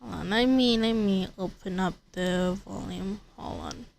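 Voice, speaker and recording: A woman's voice: medium pitch, unhurried, vocal fry, darkish tone, a little hiss or hum. A woman livestreaming a video game, chatting casually and thinking aloud in rambling, repeated phrases. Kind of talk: casual livestream chat while gaming.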